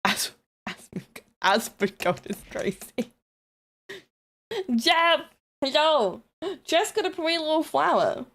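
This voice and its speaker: A woman's voice: high-pitched, big pitch swings, slow, pauses often, a bit bright. A woman with a New Zealand accent, chatting casually and rambling on a live stream while she builds models, self-deprecating and swearing now and then.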